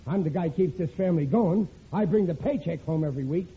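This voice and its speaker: An actor playing a gruff, self-pitying working-class husband in a 1940s radio comedy. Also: male voice, fairly high pitch, a little fast. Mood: indignant. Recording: tinny old radio broadcast with hiss.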